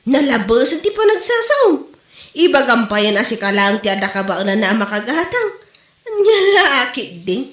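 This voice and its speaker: Woman acting a boastful indignant wife, Ilocano accent, higher-pitched voice, scolding comedy delivery, roomy reverberant sound. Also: sing-song, moderate pace, fairly bright tone. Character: proud, indignant wife boasting of her genuine luxury bag.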